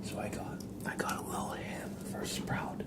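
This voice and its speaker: A man streaming ASMR live, chatting casually in a gentle, hushed, breathy whisper. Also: moderate pace.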